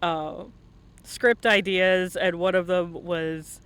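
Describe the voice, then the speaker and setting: A man with a distinctly high voice hosts a casual interview. He sounds playful and laughs readily as he talks with his guest. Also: fairly even intonation, slow, fairly bright tone, clean tone. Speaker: interviewer, stream host. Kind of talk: casual live interview chat.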